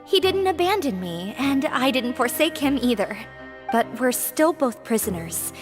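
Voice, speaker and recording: A woman's voice: high-pitched, big pitch swings, unhurried, over music. An actress playing a polite, devoted young female prisoner in a video game, speaking deferentially. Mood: hesitant. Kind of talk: video game story voice acting.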